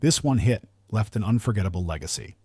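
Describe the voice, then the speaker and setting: A man's voice: lowish voice, lively intonation, fast talker, over loud music. A man narrating a scripted countdown in a polished, earnest delivery, as the narrator.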